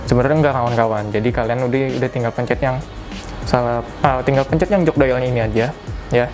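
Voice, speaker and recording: Casting: young adult male, medium pitch, informal product-tutorial delivery with colloquial tags and self-corrections, over a clear music bed. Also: quick pace. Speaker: product reviewer giving a tutorial. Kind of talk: casual step-by-step tutorial.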